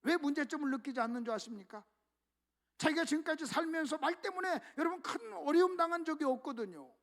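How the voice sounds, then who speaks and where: A man's voice: very high-pitched, expressive, fast talker, fairly bright tone. A male pastor preaching a revival sermon emphatically, often calling out to the congregation.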